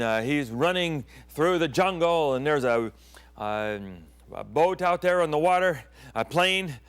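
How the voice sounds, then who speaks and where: A middle-aged male pastor who grew up in Thailand, preaching on television through vivid stories. His voice sits at an ordinary pitch, and he lowers it to a hush or a whisper to build suspense. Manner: dramatic storyteller, dropping to hushed tones and whispers. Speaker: pastor and TV program host. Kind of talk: storytelling sermon.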